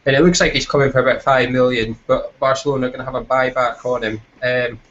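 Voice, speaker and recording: A man's voice: medium pitch, fairly even intonation, fast, fairly bright tone. A Scottish man casually hosting a football fan chat show in a self-deprecating, jokey way. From Scotland. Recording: dull lo-fi voice chat.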